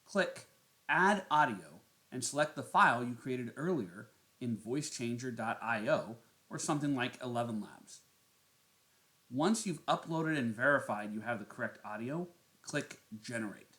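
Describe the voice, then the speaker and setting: A man narrating a step-by-step software tutorial as its host. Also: mid-range voice, lively intonation, moderate pace.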